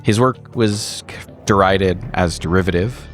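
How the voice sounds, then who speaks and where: A man narrating a recap of a tabletop horror game in measured, literary storytelling language. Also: sits low, soft background music. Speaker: player in a tabletop horror roleplaying show.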